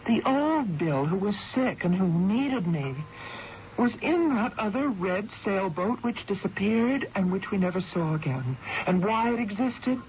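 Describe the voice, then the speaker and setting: An actress playing a devoted wife, earnest and full of wonder, in a radio drama. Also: female voice, medium pitch, lively intonation, unhurried, darkish tone, a little hiss or hum, lightly acted. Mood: wonderstruck, earnest. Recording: dull radio broadcast with music.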